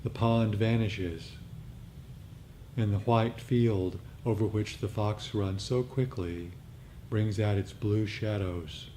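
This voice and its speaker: A man leading a meditation group, speaking in a measured way, phrase by phrase. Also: on the low side, dark tone, slight background noise. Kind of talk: poem reading and gentle group instructions.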